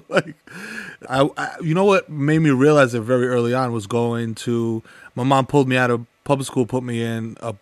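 A man's voice: medium pitch, moderate pace, no room sound. A man from the Bronx in his thirties or forties with a New York accent, Spanish his first language, talking casually as a guest and laughing often, full of slang and fillers. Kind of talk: casual conversational interview.

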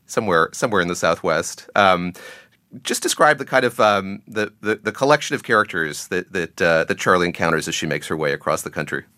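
A man's voice: low-pitched, bright, forceful tone, dry recording. A man hosting a broadcast interview, asking questions and introducing clips in a conversational style. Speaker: interview host.

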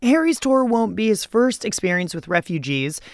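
A woman hosting a radio show, reading scripted links in a brisk, upbeat, conversational way. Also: medium pitch, sing-song.

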